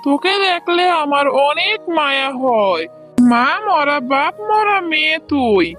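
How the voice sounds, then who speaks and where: A woman plays a kind tigress shopkeeper in a cartoon. Her voice is very high, caring and full of sympathy. Faint music plays underneath. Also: very animated, a little slow, a bit bright, exaggerated voice. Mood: pitying. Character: kindly, pitying tigress aunt who keeps a shop. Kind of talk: children's cartoon story dubbing.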